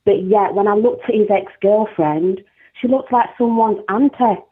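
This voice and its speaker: A woman's voice: medium pitch, normal speed, husky. A woman with a South Yorkshire accent calling into a live talk show, chatting casually and laughing often. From South Yorkshire, England. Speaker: caller. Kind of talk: casual chat. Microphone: phone call. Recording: tinny phone line.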